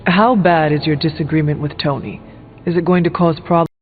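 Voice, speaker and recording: An actor playing a level-headed, earnest warrior hero in a video game, with a measured and formal way of talking. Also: male voice, on the high side, fairly even intonation, natural voice. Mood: serious, questioning. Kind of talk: video game character dialogue. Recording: phone-like band-limited sound under music. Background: music.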